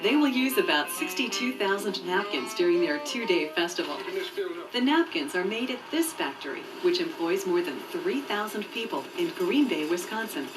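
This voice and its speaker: A woman narrating a scripted promotional video in a clear, measured, informative way. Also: on the low side, very animated. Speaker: voice-over narrator. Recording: muffled distant VHS tape dub with music. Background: background music.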